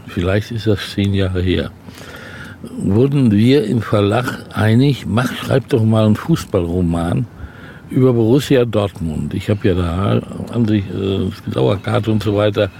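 Older man with a gravelly voice. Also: on the low side, measured pace, mellow, a little hiss or hum. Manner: rambling, chatty storytelling. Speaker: interview guest, novelist. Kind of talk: casual interview chat.

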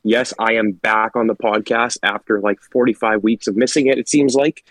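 A man's voice: on the low side, steady tone, normal speed, a bit bright, no room sound. A congested man hosting a podcast in a laid-back, jokey chat with friends. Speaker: podcast host. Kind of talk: casual chat.

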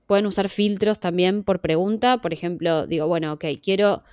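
An Argentine woman answers interview questions in a measured, patient way, pausing on fillers and closing points with "¿no?". Her voice sits a little low and sounds smooth and clean. The sound is dry and close. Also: darkish tone, no echo. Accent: Argentine Spanish. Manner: measured, many fillers and "¿no?" tags. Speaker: podcast survey organizer. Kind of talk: interview guest explaining.

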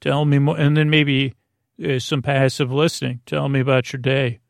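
Creaky-voiced man rambling at bedtime. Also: medium pitch, little pitch movement, no echo, studio quality. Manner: meandering, halting, gently silly. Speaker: podcast host. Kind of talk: rambling bedtime monologue meant to lull listeners to sleep.

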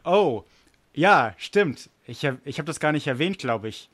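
A man's voice: a little high, lively intonation, measured pace, a bit bright, dry recording. An American man, a native English speaker, co-hosting a podcast in casual chat, self-deprecating and full of restarts. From United States. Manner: chatty, self-deprecating, frequent restarts and fillers. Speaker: podcast co-host.

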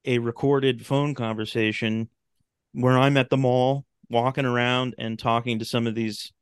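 Clear-voiced man chatting in a dry recording. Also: medium pitch, level tone, a little slow, no echo. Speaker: podcast guest, talk show host and radio drama maker. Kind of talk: casual interview chat.

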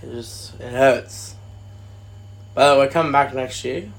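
A man's voice: mid-range voice, takes it slowly, gravelly. An Australian man co-hosting a casual live podcast, swearing and joking around, a little tipsy. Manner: swears a lot, joking banter. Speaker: podcast co-host.

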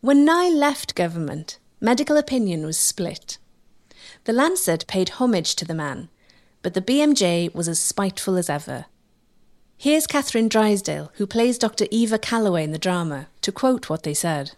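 A woman giving measured, scripted narration for a history documentary. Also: mid-range voice, sing-song, a little mellow.

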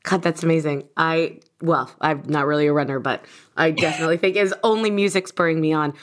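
A woman's voice: deep voice, fairly even intonation, brisk. A woman hosting a podcast interview, enthusiastic and gushing as she asks questions. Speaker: podcast host. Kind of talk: casual interview chat.

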